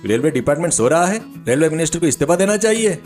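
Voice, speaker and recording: A man's voice: on the high side, lively intonation, quick pace, a little mellow, faint music behind, close to an everyday voice. A man playing a stern police sub-inspector in a comic story, scolding with authority and sarcastic menace.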